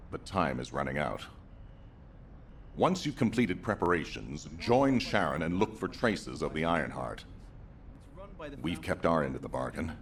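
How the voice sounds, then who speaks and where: A wary veteran commander from a video game, with an extremely low voice that moves expressively. He speaks formally and with authority, over a faint noise. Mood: commanding, firm. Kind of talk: scripted video game dialogue.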